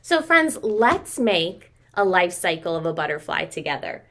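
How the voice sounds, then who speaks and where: An American woman in her thirties, a preschool teacher reading and explaining to young children in an expressive way with questions and drawn-out words. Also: on the low side. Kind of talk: children's story read-aloud and craft instruction. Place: small home room with sloped ceiling.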